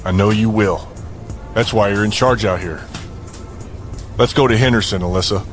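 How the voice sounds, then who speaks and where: Actor as male territory boss: low voice, authoritative, businesslike, audio drama. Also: normal speed, faint music behind, lightly acted. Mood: brisk authority.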